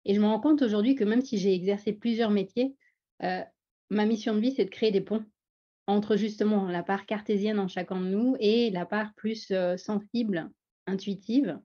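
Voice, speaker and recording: Lively Parisian woman on a video call. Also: mid-range voice, moderate pace. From France. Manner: lively, humorous storytelling. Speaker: guest. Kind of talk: webinar self-introduction. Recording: slightly dull video call audio.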